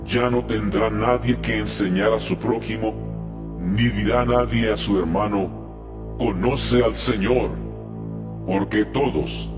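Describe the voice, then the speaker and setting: A man voicing a majestic, solemn God in a dramatized audio Bible, declaiming in a measured way. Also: lowish voice. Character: God speaking scripture, solemn, slow, majestic male voice.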